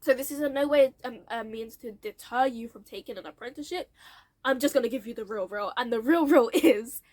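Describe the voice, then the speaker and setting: A woman's voice: fairly high pitch, brisk, darkish tone. A young adult woman with a nasal, blocked-nose voice, telling her career story to camera in a chatty, frank way and laughing often. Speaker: vlogger.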